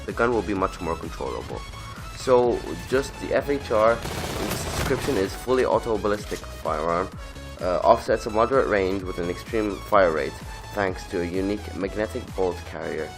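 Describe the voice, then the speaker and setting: A man's very low voice is roughened by a cold that won't clear. He talks his way through gameplay from his bedroom, drifting off track and catching his own slips, with music playing under him. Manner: rambling, self-correcting, casual swearing. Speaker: gaming channel host. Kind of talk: casual gameplay commentary. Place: bedroom at home.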